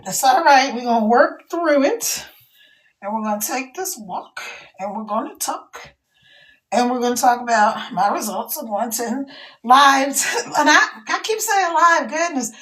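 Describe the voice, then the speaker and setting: An older woman with a scratchy, hoarse voice, chatting folksily to viewers of her phone live stream. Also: sits high, fairly bright tone. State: hoarse after two hours of talking. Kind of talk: casual live-stream chat.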